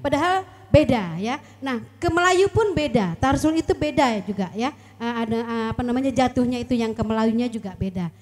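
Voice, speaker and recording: Slightly hoarse woman judge, high voice. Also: lively intonation, fairly quick, darkish tone. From Kutai Kartanegara, East Kalimantan. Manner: hesitant, many fillers, earnest advice. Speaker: tarsul competition judge. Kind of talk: casual live-event chat on a mic. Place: outdoor stage at an office yard event.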